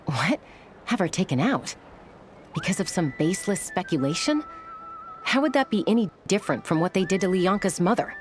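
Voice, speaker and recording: Blunt young scout girl in a game, kinda low voice, confident, loyal, music behind. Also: sing-song, normal speed. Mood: indignant, sardonic. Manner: confident, sardonic, firm. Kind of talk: video game voice acting. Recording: music under the dialogue.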